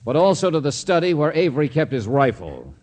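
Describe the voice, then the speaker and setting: An actor playing a brisk, hard-boiled private investigator in a vintage radio drama, blunt and probing as he questions people. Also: fairly high pitch, big pitch swings, darkish tone. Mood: accusing, pressing.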